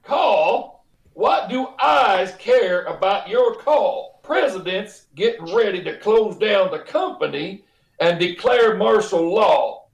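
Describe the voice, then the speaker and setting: Man playing a high, creaky, bossy boss. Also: expressive, slow pace, full character voice. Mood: impatient, urgent. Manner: emphatic, scolding. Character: harried, bossy, impatient boss in a crisis. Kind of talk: 1950s radio play acting. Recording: echoing room.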